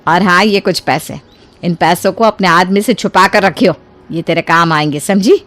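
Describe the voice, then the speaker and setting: A woman playing a kind, generous older mother-in-law in an acted moral story, speaking in an expressive, colloquial, motherly way. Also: fairly low pitch, moderate pace, lightly acted. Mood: kindly scolding.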